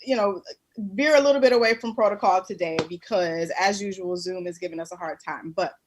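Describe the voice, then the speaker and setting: A woman's voice: mid-range voice, brisk, a little hiss or hum. A woman co-hosting a casual live talk stream over a video call, bubbly and laughing often. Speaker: talk show co-host. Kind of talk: casual livestream chat.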